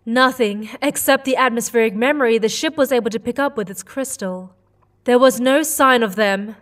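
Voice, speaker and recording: Determined alien mother played by a woman, high and insistent, slightly distant mic, audio drama. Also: normal speed, fairly bright tone, lightly acted. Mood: puzzled, concerned. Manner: earnest, insistent. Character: worried, determined alien mother on a space mission. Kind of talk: sci-fi audio drama acting.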